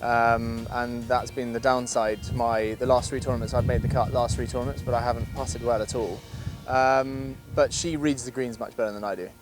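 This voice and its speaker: A man from Scotland talks in a middle-pitched voice, a golfer answering interview questions. He sounds enthusiastic and fills his pauses with ums. Guitar music plays clearly behind him. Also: steady tone. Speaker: professional golfer.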